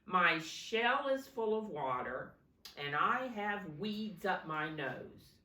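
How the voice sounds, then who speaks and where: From a distance in an echoey room comes a woman acting out a storybook tortoise, a slow male creature who is grumpy yet kind. The delivery is firm and weary, with pitch that moves around. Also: animated, sharp tone, exaggerated voice. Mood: indignant. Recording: roomy echo, distant microphone.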